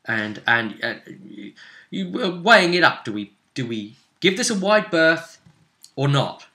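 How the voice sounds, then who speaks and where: A man recapping a tabletop role-playing session as game master, in a casual, animated storytelling manner. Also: fairly high pitch, a little slow.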